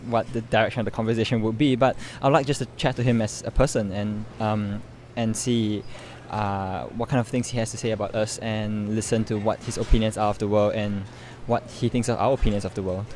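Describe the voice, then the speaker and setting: A man's voice: lowish voice, fairly even intonation, a little fast, hardly any pauses, a little mellow. A young man from Singapore giving informal interview answers as a guest, searching for his words as he goes. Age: young adult. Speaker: interview guest, medical student and competition winner. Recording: clear background noise, urban ambience.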